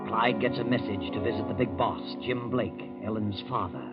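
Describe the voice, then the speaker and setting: A man's voice: fairly low pitch, normal speed. A man reading scripted narration as the narrator of an old-time radio drama. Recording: dull old radio recording with music.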